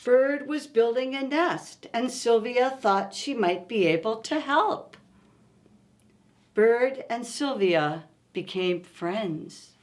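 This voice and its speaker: Lady doing kids storytime, highish voice, takes it slow, asks the little ones questions, really expressive. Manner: slow, expressive, coaxing with questions to young listeners. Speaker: children's storytime host. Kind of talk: reading a picture book aloud to young children, asking questions.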